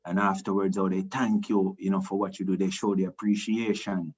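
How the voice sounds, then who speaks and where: A man from Jamaica with a Jamaican accent, a reggae singer answering interview questions in a relaxed, rambling way, full of restarts and "you know". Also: low-pitched, fairly quick, breathy edge, muffled recording. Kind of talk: casual interview talk.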